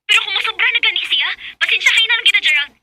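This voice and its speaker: An actress with a Cebuano Filipino accent playing an assertive young wife in a radio drama. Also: female voice, very high-pitched, animated, rapid-fire, heavy background noise. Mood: aggrieved, defiant. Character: fed-up, assertive young wife standing up for herself. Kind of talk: Bisaya radio drama acting.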